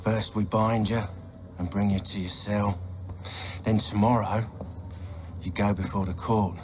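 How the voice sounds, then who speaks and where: Man acting low-voiced, taunting rough henchman. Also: flat delivery, unhurried, darkish tone, slight background noise, close to an everyday voice. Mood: gloating menace. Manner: coarse, taunting, menacing. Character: rough, gloating male henchman. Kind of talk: dramatic game cutscene acting.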